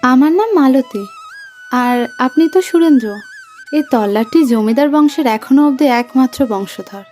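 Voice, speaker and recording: Woman playing a high, coy, mysterious maiden. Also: animated, a little slow, darkish tone. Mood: coy, knowing. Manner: coy, sweet. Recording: music under the voice.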